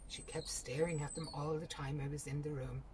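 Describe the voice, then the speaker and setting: A woman's voice: deep voice, steady tone, brisk, background noise, natural voice. A woman voicing a wistful, wounded married daughter who is proud yet pleading. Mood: wistful hurt.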